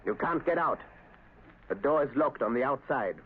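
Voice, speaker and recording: An actor playing a scheming saboteur doctor, smooth and deliberate, in a vintage radio drama. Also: male voice, medium pitch, lively intonation, lightly acted. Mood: gloating. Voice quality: smooth, deliberate villain delivery. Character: scheming male saboteur doctor, suave and menacing. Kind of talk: 1930s radio drama acting. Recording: tinny old radio recording, hiss.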